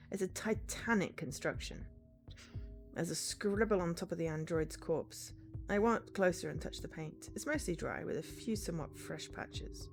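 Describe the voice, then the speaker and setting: A woman's voice: fairly low pitch, creaky. A woman streamer reading a visual novel aloud, narrating and acting out the dialogue. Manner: acts out dialogue between narration. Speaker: game streamer.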